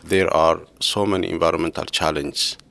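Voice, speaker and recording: A man in his thirties from Ethiopia, soft-spoken and laughing often as he talks with his former co-advisor. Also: deep voice, steady tone, moderate pace, vocal fry. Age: 30s. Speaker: former PhD student, interviewee. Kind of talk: casual conversation.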